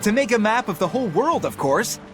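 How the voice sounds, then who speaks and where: An eager young pirate sailor speaks in a fairly high male voice. The friendly game delivery is very animated in pitch, with faint music behind. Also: fairly quick. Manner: eager, explanatory.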